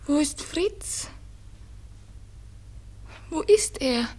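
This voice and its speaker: An actress playing a distraught, lovesick young daughter in a radio drama, insistently pressing short, urgent questions. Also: female voice, sits high, lively intonation, drawn-out words, darkish tone, full character voice. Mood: desperate, demanding. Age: young adult. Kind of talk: 1960s German-language radio drama acting.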